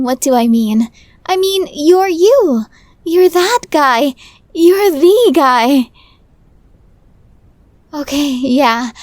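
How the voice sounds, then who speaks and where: A woman's voice: high-pitched, a little mellow, dry recording. A woman playing a tiny, nervous, lovestruck young woman in an ASMR roleplay, stammering and flustered. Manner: stammering, flustered, breathy asides.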